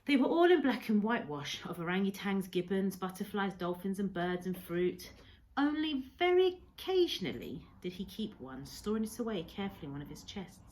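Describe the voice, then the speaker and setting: A woman reading a storybook aloud like a teacher to pupils, in a measured, expressive storytelling manner. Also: mid-range voice, slight background noise, rough recording. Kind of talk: read-aloud storybook narration.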